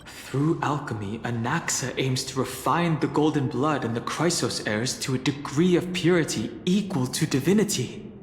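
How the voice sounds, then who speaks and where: An actor playing a calm, analytical young traveler in a fantasy game, speaking in a measured, formal and reserved way. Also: male voice, medium pitch, slight background noise, close to an everyday voice. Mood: grave, questioning. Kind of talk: scripted fantasy game voice acting. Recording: echoey long reverb, game music behind. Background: game music.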